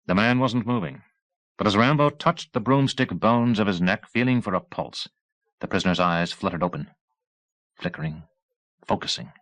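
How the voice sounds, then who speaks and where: A low-voiced male audiobook narrator reads dramatic fiction. His phrasing is measured and deliberate, and the sound is close and dry, with very little room. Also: fairly bright tone, no room sound, low-quality recording. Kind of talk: scripted novel narration, dramatic.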